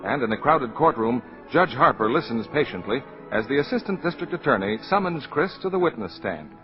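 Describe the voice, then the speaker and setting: A man's voice: medium pitch, lively intonation, fairly quick. An American man announcing and narrating a drama in scripted 1940s radio style. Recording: dull 1940s radio broadcast. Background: music.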